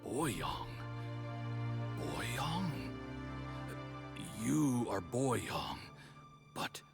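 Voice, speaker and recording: A man voicing a confused, tormented yaksha warrior in a video game, gravelly and halting. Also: mid-range voice, big pitch swings, over loud music, heavily acted. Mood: confused, anguished. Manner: halting, bewildered. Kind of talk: dramatic game voice acting.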